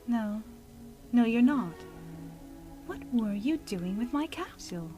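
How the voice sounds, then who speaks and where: A spirited young alien time lady , acted by a woman with a high, very animated voice. She is an idealistic artist who speaks in a pointed, challenging way. It is audio drama dialogue with music behind it. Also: slow.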